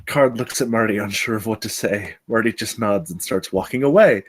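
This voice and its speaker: Table-read narrator: male, low register, reads screenplay action lines aloud. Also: a little fast. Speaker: stage directions reader at a table read.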